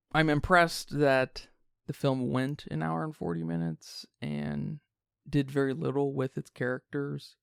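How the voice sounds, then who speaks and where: A man giving a measured, critical film review as a podcast host. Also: medium pitch, vocal fry, clean tone, no room sound, clean studio sound.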